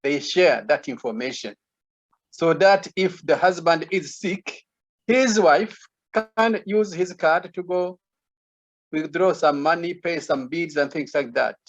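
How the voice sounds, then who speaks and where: A man on a panel who explains his point carefully and haltingly, with many restarts, over a video call. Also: on the high side, highs cut off. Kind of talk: online panel discussion.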